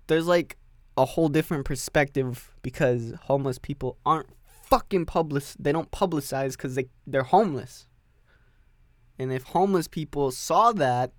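A man's voice: a little high, no echo, clean studio sound. A man hosting a solo podcast, rambling and joking with frequent swearing. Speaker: podcast host. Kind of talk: casual solo podcast rant.

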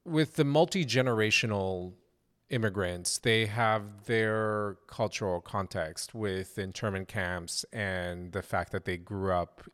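A man with a very low voice hosts a conversational interview. He speaks in a measured, thoughtful way and lays out his points steadily. The tone stays even, like a relaxed discussion. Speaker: interviewer, host.

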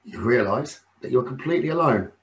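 A man's voice: mid-range voice, expressive. Soothing man guiding a meditation. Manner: soothing, unhurried, with frequent pauses. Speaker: meditation teacher. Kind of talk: guided meditation narration.

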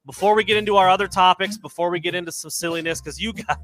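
A man's voice: high-pitched, fast, a bit bright, rough recording. A man hosting a sports podcast, chatting in an upbeat, friendly way and calling his guests dude. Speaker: podcast host. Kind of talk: casual sports podcast chat.

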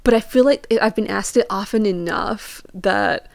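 A young woman chats casually through personal anecdotes. Her voice is clear and smooth at a medium pitch, recorded close and dry. She speaks informally, peppering her stories with "like" and quoting back little exchanges. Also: moderate pace, darkish tone, no room sound. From Portugal and England. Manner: informal, peppered with "like", acts out quoted questions. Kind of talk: casual chatty storytelling.

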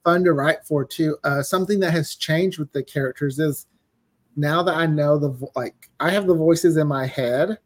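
A man with a fairly high voice talks casually as a guest writer in an interview. He explains things in loose, conversational bursts and acts out quoted reactions as he goes. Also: normal speed. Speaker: podcast guest, audio drama writer.

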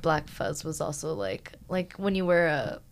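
A woman bass player in a casual interview, chatty and quick to throw in a funny aside. Her voice is low with a gravelly fry. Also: big pitch swings, slight background noise. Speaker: band bassist and songwriter.

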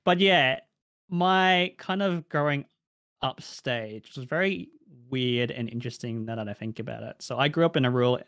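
A man's voice: mid-range voice, moderate pace, fairly bright tone, no room sound, studio quality. A young man with an Australian accent, answering interview questions in a casual, reflective way with many fillers.

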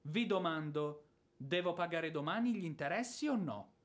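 An actor playing a boorish, hot-tempered ex-officer creditor in a radio drama, sarcastic and theatrical, moving between muttering and bluster. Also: male voice, fairly high pitch, expressive, normal speed, lightly acted. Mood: indignant. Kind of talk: radio drama stage acting.

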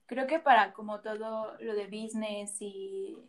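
A woman's voice: mid-range voice, fairly even intonation, a little slow. A woman with a Mexican accent, a guest in a casual chat, giving candid opinions with frequent fillers. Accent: Mexican Spanish.

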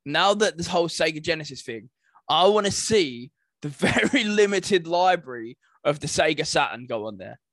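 Man, slightly high voice, close and dry. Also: lively intonation, normal speed, a bit bright, no echo. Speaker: podcast co-host. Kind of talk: casual gaming podcast chat.

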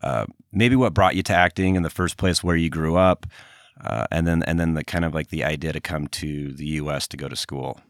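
An interview host asking questions in a relaxed, halting way, with many restarts and easy laughter. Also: extremely low pitch, dry recording, studio quality. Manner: hesitant, restarts often, laughs along. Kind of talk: casual interview.

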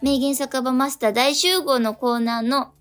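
A woman with a fairly high voice co-hosts a radio show. She reads listener mail aloud in a polite manner. Music plays faintly behind her. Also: fairly even intonation, moderate pace, fairly bright tone. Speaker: radio co-host reading listener letters. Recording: radio talk over background music.

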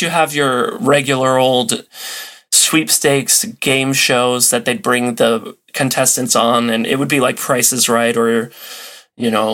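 A man's voice: mid-range voice, a bit bright. A man talking as a podcast guest and enthusiast, explaining and telling stories in casual chat. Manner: conversational storytelling. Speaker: podcast guest, old-time radio enthusiast.

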